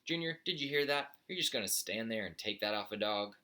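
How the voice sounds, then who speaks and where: A man's voice: on the low side, animated, fairly quick, pushed and bright, pitched above the performer's own voice. A man voicing a cranky, greedy old father buzzard who nags and blusters in a folksy way for a children's storybook. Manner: nagging, blustering, folksy lecturing.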